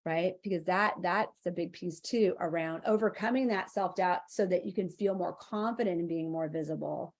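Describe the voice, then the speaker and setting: A woman's voice: fairly low pitch, normal speed, few pauses. Earnest woman, spiritual guest. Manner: earnest, heartfelt, flowing run-on phrasing. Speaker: interview guest, angel mentor. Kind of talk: live-streamed spiritual interview.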